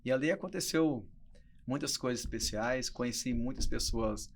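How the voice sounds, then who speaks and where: A man's voice: mid-range voice, normal speed, vocal fry. A Brazilian man in his early forties talking casually as a guest entrepreneur on a podcast. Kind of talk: casual conversational interview talk.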